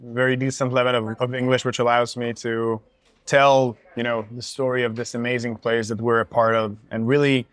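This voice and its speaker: Man, Hebrew native speaker, food guide, chatty explainer, middle pitch, dry close sound. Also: level tone, a little fast, fairly bright tone, dry recording. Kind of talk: casual conversation. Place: hummus restaurant.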